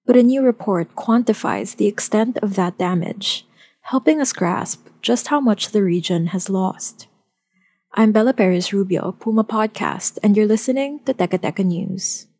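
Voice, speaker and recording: Mellow, husky news podcast host. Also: female voice, medium pitch. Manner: measured, script-read delivery. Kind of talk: scripted news narration.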